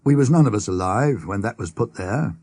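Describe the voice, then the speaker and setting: A man's voice: fairly low pitch, expressive, fairly quick, dark tone, husky, no room sound, studio quality. A man voicing plain-spoken, superstitious villagers in an audiobook ghost story, with a folksy, knowing manner. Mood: matter-of-fact. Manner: folksy, knowing, dialect grammar. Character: rustic Suffolk villagers at the inn, plain-spoken, superstitious old-time locals.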